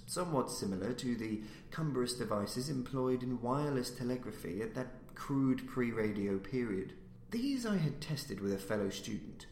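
Male narrator for gothic horror prose: expressive, swooping delivery, deliberate emphasis, recorded in an echoey room. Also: mid-range voice. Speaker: audiobook reader and podcast host. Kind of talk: dramatic literary narration of a horror story. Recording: roomy echo with faint background noise.

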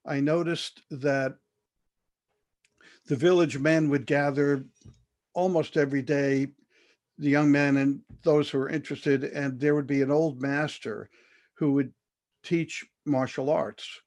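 An older man telling his life story as an interview guest, measured and thoughtful, with frequent fillers and restarts. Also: a little high, steady tone, darkish tone.

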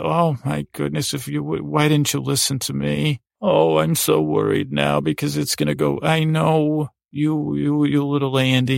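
A man's voice: a little high, gravelly, dry recording, studio quality. A man voicing an anxious, doom-saying old grandmother who frets and scolds in an improvised bedtime podcast. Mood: worried scolding. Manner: worried, scolding, repeats oh dear and sighing complaints.

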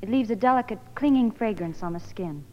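A woman's voice: mid-range voice, animated, brisk. A young American woman, a guest actress reading scripted promotional chat on vintage radio. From Hollywood, California. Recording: muffled old radio broadcast, long hall reverb.

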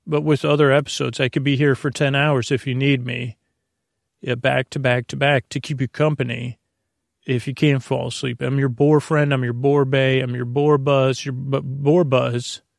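Creaky guy doing a sleepy-time podcast ramble, keeps trailing off and restarting, really dry close sound. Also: mid-range voice, dry recording. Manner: meandering, halting, self-interrupting. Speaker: podcast host. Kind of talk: rambling bedtime monologue.

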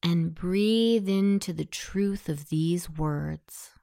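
A woman guiding a scripted hypnosis meditation in a soothing, softly breathy delivery. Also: lowish voice, extremely slow, no room sound, studio quality.

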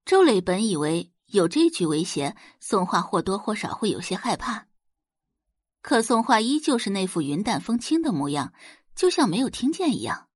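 Female audiobook narrator: expressive dramatic storytelling, lively pitch movement, slightly husky tone, dry close recording. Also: moderate pace, dry recording.

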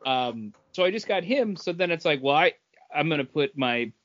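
A man's voice: fairly high pitch, expressive, moderate pace, fairly bright tone. A man on a podcast panel, casually explaining things step by step. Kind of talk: casual hobby chat. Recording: dull lo-fi audio.

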